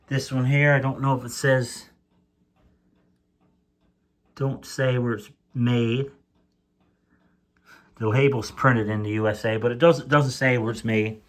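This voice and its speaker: A man of middle pitch talks very slowly through a casual show-and-tell at home. He pauses often, restarts his sentences and fills the gaps with "uh". He is off screen, heard on the camera's own mic. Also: a bit bright. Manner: slow, hesitant, many fillers and restarts. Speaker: radio collector. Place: indoors, home room with radios on floor.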